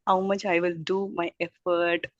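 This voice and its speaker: A middle-aged woman with an Indian accent introduces herself informally, rambling and effusive in halting English. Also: fairly low pitch, level tone, no room sound. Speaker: childcare teacher introducing herself. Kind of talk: informal self-introduction at a group meeting. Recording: dull, muffled audio.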